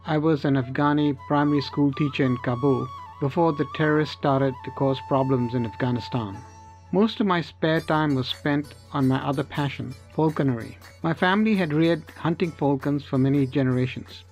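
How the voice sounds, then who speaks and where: A man's voice: on the high side, moderate pace, soft background music. A man reading a scripted first-person story as an audiobook narrator.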